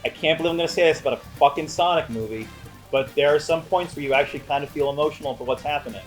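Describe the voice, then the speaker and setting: A man's voice: a little high, rapid-fire, faint music behind. Male co-host for a casual review show, conversational, playful and emphatic. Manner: emphatic, playful, swears freely. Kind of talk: casual film review chat.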